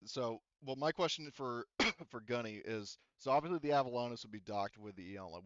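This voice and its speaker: Halting, smooth-voiced man running a tabletop game. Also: lowish voice, no echo, muffled recording. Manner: halting, searching for words. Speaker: game master. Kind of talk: improvised tabletop game narration, casual.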